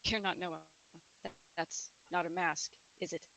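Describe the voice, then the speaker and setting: A woman's voice: lowish voice, steady tone, unhurried, bright, forceful tone, low-quality recording. A woman playing an uneasy, foul-mouthed young woman driver in an amateur horror table read. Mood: frightened.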